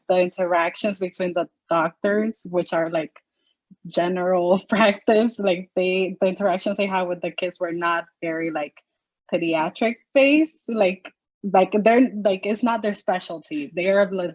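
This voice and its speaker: A woman's voice: lowish voice, no room sound. Young female student, rambly, lo-fi dead-dry audio. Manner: rambling, many "like"s. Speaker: postbac student. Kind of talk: casual question on video call.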